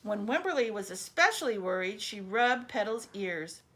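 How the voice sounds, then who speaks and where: A woman's voice: medium pitch, moderate pace. A woman reads a children's picture book expressively, breaking off for chatty asides to her young listeners. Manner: animated storyteller, chatty asides addressed to children.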